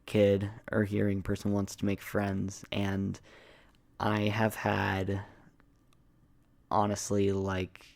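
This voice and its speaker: A young man speaks softly in an ASMR ramble, with a low voice that stays extremely even. His delivery is casual and hesitant, wandering from phrase to phrase with lots of filler words. The sound is very dry and close. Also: slow pace, creaky, pauses often, no echo. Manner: casual, hesitant, meandering, frequent "like". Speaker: ASMR video creator. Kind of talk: soft-spoken ASMR rambling.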